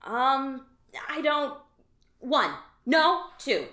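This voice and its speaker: A woman's voice: sits high, lively intonation, very slow, sharp tone, echoey room, heavily acted. A woman playing a frazzled alien office worker, exasperated and sarcastic. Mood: frustrated. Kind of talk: audio drama.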